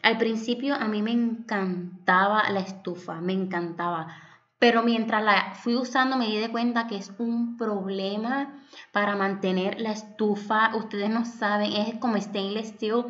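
A woman's voice: mid-range voice, fairly even intonation, measured pace, a bit bright, dull audio. Chatty young Puerto Rican woman vlogger. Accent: Puerto Rican Spanish. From Puerto Rico. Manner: affectionate, chatty, addresses viewers as "my loves". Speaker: home vlogger. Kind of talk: voice-over vlog narration.

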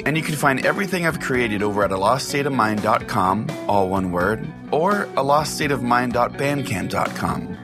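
Man hosting a comic show over music. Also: mid-range voice. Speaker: podcast host.

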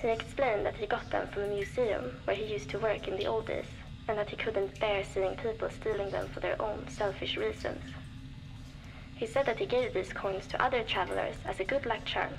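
An actress playing a young woman survivor, reflective and determined, recording a measured audio diary for a video game. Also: medium pitch, fairly even intonation, vocal fry, over music, lightly acted, rough recording. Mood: calm, hopeful. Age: young adult.

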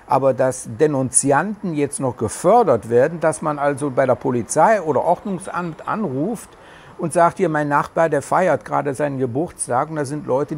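A man's voice: a little high, a little mellow, breathy edge. A middle-aged man with a regional German dialect, chatting casually to camera with many hesitations, recorded outdoors on a terrace with a lapel mic. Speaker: vlogger. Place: covered terrace outdoors in the tropics.

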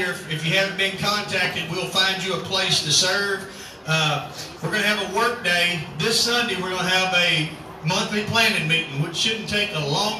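A man, a cowboy church pastor giving folksy announcements and a sermon outdoors, calling on the crowd to answer. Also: high-pitched. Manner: folksy, chatty, calls for crowd response. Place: outdoor rodeo arena at sunset. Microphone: far camera across the arena. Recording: echoey outdoor arena from far off, noisy.

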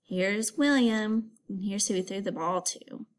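A woman teacher giving a read-aloud lesson for children, instructive and expressive, as if putting questions to pupils. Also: mid-range voice, measured pace.

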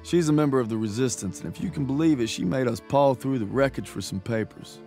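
A man playing a young WWII private from a video game, with a Southern US accent. He sounds earnest and folksy, at a middling pitch, with faint music behind. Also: fast talker, warm tone, close to an everyday voice. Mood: reflective narration.

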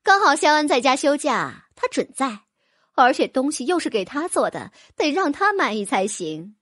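A woman's voice: high-pitched, big pitch swings, normal speed, fairly bright tone, no echo, clean studio sound. A woman voicing an eager, accommodating middle-aged noble lady, a mother.

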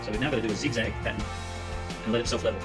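A man's voice: lowish voice, fairly even intonation, racing, over music. Chatty older Australian man on a boat. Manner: chatty, folksy, thinking aloud. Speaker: sailing vlogger. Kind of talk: casual vlog narration. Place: sailboat cabin.

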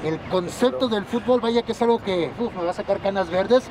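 A man from Guadalajara with a Mexican accent, chatting and joking casually as a guest on an outdoor pitch. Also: a little fast, over a noisy crowd. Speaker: wrestler, guest. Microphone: handheld microphone. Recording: distant mic, noisy outdoors.

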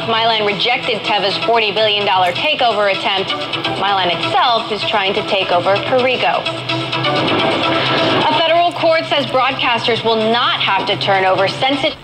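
Low-pitched radio newswoman on an old set. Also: poor audio. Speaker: radio news reader. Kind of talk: scripted news bulletin. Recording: AM broadcast from a vintage radio speaker.

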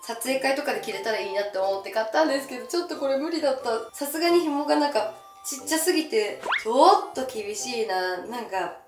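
A woman's voice: high-pitched, animated, quick pace. A young woman chatting casually to camera as she presents, with an exclamatory, bashful manner. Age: young adult. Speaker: gravure idol presenting outfits. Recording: roomy echo from camera distance. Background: faint music.

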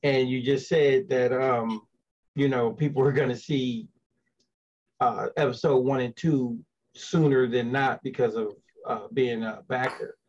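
A man hosting an interview on a video call, asking casually and haltingly, in slightly lo-fi audio. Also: mid-range voice, fairly even intonation, darkish tone. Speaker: interview host.

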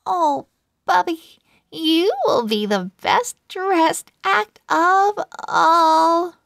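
A woman's voice: very high-pitched, animated, drawn-out words, creaky, fairly bright tone, dry recording, clean studio sound, voice pushed up, exaggerated voice. A woman voicing a doting young girl from a children's storybook, gushing affectionately over her pet.

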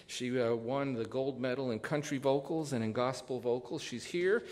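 An older American man hosting a live stage radio show, introducing and interviewing in a halting, conversational way. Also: medium pitch, a little mellow. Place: large theater stage. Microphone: vintage stand microphone. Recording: reverberant theater hall, faint noise.

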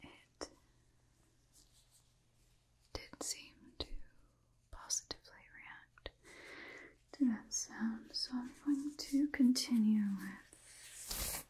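Woman, 20s, ASMR scientist role: whispered, measured, clinical delivery, close on the mic. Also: on the high side. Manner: hushed, measured, clinical observations. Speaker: ASMR performer playing a scientist. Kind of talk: ASMR roleplay. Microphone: close sensitive microphone.

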